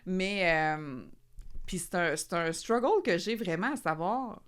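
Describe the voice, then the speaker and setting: A woman in her late thirties with a Québécois accent, chatting casually as a podcast co-host, animated and colloquial, laughing easily. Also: on the low side, slow, smooth. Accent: Québécois French. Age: 30s. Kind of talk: casual chat.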